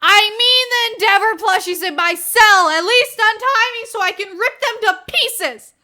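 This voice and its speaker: A woman voicing an outraged imprisoned male villain who shouts forcefully in a story reading. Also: very high-pitched, a little slow, pitched above the performer's own voice, full character voice.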